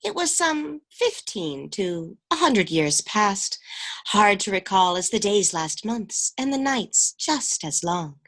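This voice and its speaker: Woman acting melodramatic, animated misfit Acetone. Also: medium pitch, takes it slowly, full character voice. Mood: wistful. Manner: dramatic, declamatory. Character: earnest, melodramatic female misfit named Acetone. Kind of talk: mock-archaic amateur radio play acting.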